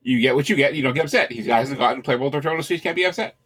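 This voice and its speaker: Wry, joking podcast guest. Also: male voice, medium pitch, rapid-fire, fairly bright tone, husky. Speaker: podcast co-host. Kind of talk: casual podcast chat.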